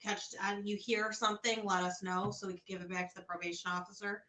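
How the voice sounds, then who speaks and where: A woman co-hosting a casual online talk show, agreeable and quick to back up the host. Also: medium pitch, level tone, pushed and bright. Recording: roomy echo.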